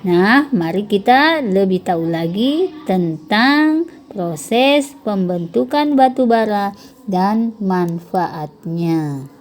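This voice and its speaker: A woman primary school teacher, explaining a lesson to children slowly and carefully. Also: medium pitch, a little mellow. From South Sulawesi, Indonesia. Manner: slow, careful explaining to pupils. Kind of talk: recorded online lesson for children.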